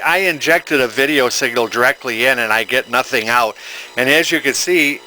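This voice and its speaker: A man's voice: mid-range voice, sharp tone, slight background noise, rough recording. A man giving casual, hands-on repair commentary as a technician, plain-spoken and technical, thinking aloud as he works. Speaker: electronics repair technician and video host.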